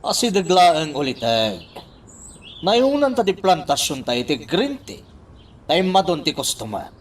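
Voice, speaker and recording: A man's voice: on the high side, big pitch swings, unhurried, slight background noise. A man playing an earnest, deferential plantation worker in an Ilocano radio drama. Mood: earnest, coaxing.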